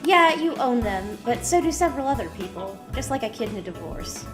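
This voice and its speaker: A woman playing a breezy, quick-witted hacker sidekick in an audio drama. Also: on the high side, very animated, over music. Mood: brisk, wry.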